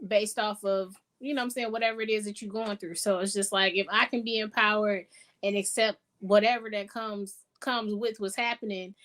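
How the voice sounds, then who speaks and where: A woman with a high voice co-hosting a casual podcast, blunt and playful, swearing casually as she talks. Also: a bit bright. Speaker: podcast co-host. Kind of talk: casual chat.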